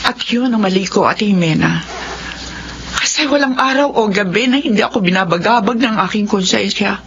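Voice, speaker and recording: A woman's voice: medium pitch, expressive, moderate pace, fairly bright tone, a little hiss or hum. An actress playing a remorseful woman in her sixties in a Filipino radio drama, speaking in an earnest, pleading, confessional manner. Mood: confessing, regretful. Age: 60s. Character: remorseful 60-year-old mother seeking her abandoned children. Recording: muffled radio drama audio with music behind.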